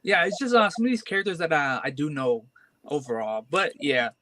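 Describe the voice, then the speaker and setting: A man's voice: a little high, very animated, fairly quick, sharp tone, slight background noise. A man in his twenties, a comic collector, talking casually and hesitantly with many fillers and restarts. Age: 20s. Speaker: guest collector. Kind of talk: casual chat.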